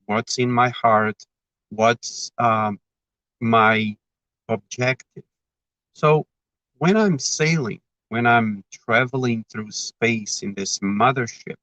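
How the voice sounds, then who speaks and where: A man with a Brazilian Portuguese accent speaks in a thoughtful, wandering way, circling back to his point. His voice sits at an ordinary middle pitch. The recording sounds dull and lo-fi, with a dry, close feel and no room echo. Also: a bit bright. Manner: digressive, thoughtful, self-aware about repeating himself. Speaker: spiritist speaker. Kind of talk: reflective spiritual talk.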